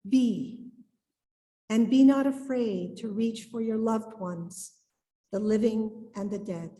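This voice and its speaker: A woman speaks as a preacher in a roomy, echoing space. Her voice is a little high and soft-edged in tone, and she sets out each short phrase solemnly, as in a prayer. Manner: solemn, deliberate, measured phrasing with pauses. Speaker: lay preacher reading a sermon and benediction. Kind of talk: sermon, prayerful benediction. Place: church service in a reverberant room.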